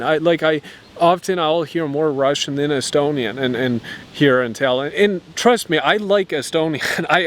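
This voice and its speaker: A man vlogs his views outdoors in a city at an average pitch. He argues his point earnestly, often doubling words and restarting sentences. Faint traffic and hiss sit under his voice. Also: a bit bright. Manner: earnest and reasoned, with frequent restarts and repeated words. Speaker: vlogger. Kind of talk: opinion monologue to camera.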